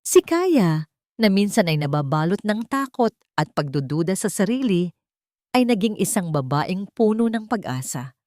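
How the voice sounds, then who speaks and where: A woman storyteller reads her own romance story in a gentle, measured, heartfelt way. Her voice sits slightly low. The sound is dry and close, with no room echo. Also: very animated. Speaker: storyteller and writer. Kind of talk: scripted story narration.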